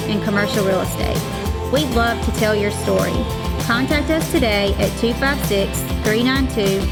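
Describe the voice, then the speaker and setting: A woman giving a friendly, direct, scripted pitch to realtors. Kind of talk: scripted ad read.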